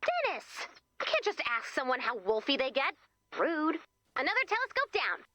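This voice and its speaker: High, perky, flustered heroine. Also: female voice, normal speed, dry recording, studio quality, exaggerated voice. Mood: playful, scolding. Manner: expressive, comically dramatic. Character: perky, flustered, quirky young female game heroine. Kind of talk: animated video game voice acting.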